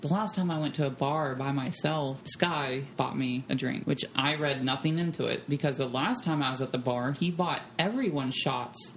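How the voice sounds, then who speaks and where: A woman's voice: a bass voice, normal speed, hardly any pauses, slight background noise. A young woman telling frank stories in a casual chat, blunt and profane. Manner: blunt, profane, animated storyteller. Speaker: podcast guest. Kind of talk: candid storytelling chat.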